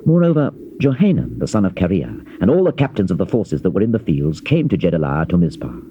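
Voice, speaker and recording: A man giving a solemn, measured, dramatized narration of scripture in archaic King James English. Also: low voice, sing-song. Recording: dull, hissy old cassette tape transfer.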